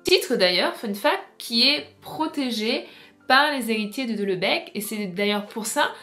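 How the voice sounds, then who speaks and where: High-voiced woman, chatty book vlogger. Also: animated, unhurried, sharp tone, faint music behind. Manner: chatty, explanatory, addressing viewers directly. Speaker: book vlogger presenting to viewers. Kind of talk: informal video essay on books and film.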